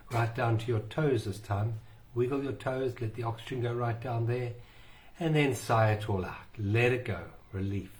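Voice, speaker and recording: A man guiding a meditation in a slow, soothing way with long pauses. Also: on the low side, darkish tone. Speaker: meditation guide. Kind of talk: guided meditation.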